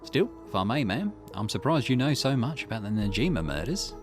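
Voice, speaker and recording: A man's voice: medium pitch, sing-song, brisk. A man voicing a polite, inquisitive man in a visual novel, courteous and insinuating, with music behind. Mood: courteous, probing.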